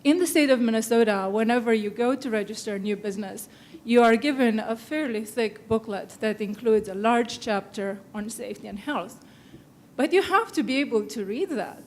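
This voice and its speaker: A woman, a safety researcher, making earnest, measured remarks on a panel and laying out her points as lists. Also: fairly high pitch, darkish tone, a little hiss or hum. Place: conference room panel.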